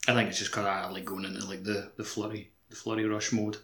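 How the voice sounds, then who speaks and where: A man's voice: fairly low pitch, level tone, fast, a bit bright. A man chatting enthusiastically about a game on a podcast, peppering his speech with "like". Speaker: podcast co-host. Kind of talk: casual chat about a video game. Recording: echoey room.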